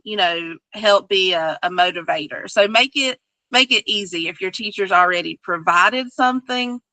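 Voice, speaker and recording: A woman tutor on a podcast giving easygoing advice to parents, her talk loose and full of fillers. Her voice is bright, and it is heard close and dry. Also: medium pitch, no echo, rough recording.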